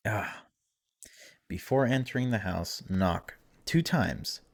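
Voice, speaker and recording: A man with a low voice plays through a game for viewers. He reads the text aloud and comments on it in an informal, chatty way, dropping wry remarks as he goes. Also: slow pace. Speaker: video game streamer. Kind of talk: casual let's-play commentary mixed with reading game text aloud.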